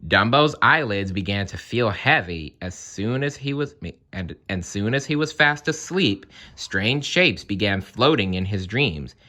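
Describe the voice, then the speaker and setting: A man narrating a children's story aloud in an expressive storytelling style. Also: lowish voice, a little slow, few pauses. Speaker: story reader.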